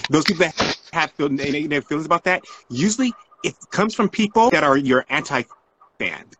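A man's voice: on the high side, lively intonation, fairly quick, a bit bright, slight background noise. A man speaking casually as an interview guest, earnest and often repeating his phrases.

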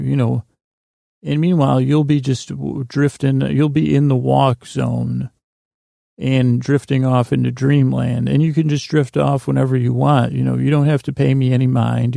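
A man hosting a podcast with a meandering, halting bedtime monologue that keeps drifting off track, in a gravelly, creaky voice. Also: medium pitch, dark tone, no echo, studio quality. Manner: meandering, halting, digressive, loses his thread. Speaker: podcast host. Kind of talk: rambling bedtime monologue meant to help listeners sleep.

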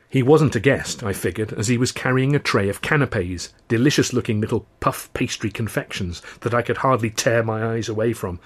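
A man's voice: lowish voice, slightly rough. A man reading his own novel as a wry first-person audiobook narration.

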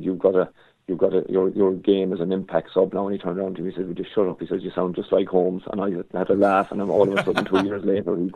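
A hoarse Irish man talking football on a call as a guest pundit, rambling with lots of restarts. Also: low-pitched. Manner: rambling, stop-start, frequent restarts. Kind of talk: casual analysis over the phone. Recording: tinny phone line.

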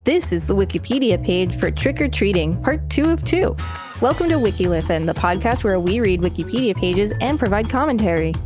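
Female podcast co-host, mid pitch, article read-aloud with light commentary, faint music bed. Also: lively intonation, a little fast.

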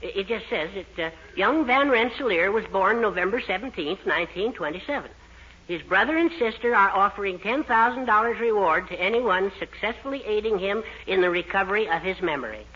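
A man's voice: high-pitched, expressive, a little fast, pushed and bright. A man playing a gullible, henpecked middle-aged husband in a 1940s radio sitcom, with a meek, folksy, befuddled manner. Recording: muffled 1940s radio recording.

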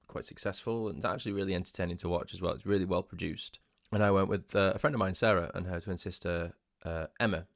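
A man with a very low, groggy voice hosts his own podcast. He rambles casually, asking himself questions and restarting sentences. The sound is dry and close. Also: no echo. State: groggy, just woken up. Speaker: podcast host. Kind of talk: casual solo podcast storytelling.

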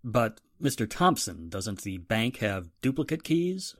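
A man playing a businesslike police inspector, forceful in questioning, in a 1940s radio drama style. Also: fairly low pitch, big pitch swings, unhurried, no echo, studio quality. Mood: probing.

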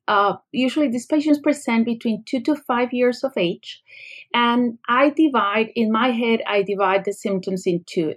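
Colombian-accented woman explaining medicine. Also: a little high. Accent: Colombian Spanish. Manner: explanatory, uses analogies, some fillers. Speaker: pediatric neurologist guest. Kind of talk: podcast interview, explaining a medical topic.

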